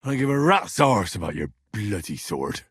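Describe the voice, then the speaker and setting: Young British medieval game hero: husky, down-to-earth, earnest servant lad, very dry sound. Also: male voice, on the low side, sing-song, moderate pace, a bit bright, dry recording, heavily acted. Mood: scornful. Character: plain-spoken young male medieval servant and adventurer. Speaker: video game voice actor. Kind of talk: scripted game character dialogue.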